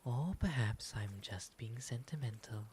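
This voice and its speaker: A man voicing a gentle, refined owl demon in an ASMR roleplay, with soft, breathy, soothing, formally polite speech. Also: mid-range voice, lively intonation, close to an everyday voice. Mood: fond, courteous. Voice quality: soft, breathy, often whispery. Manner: soothing, genteel, unhurried formal phrasing. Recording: noticeable ambient background noise.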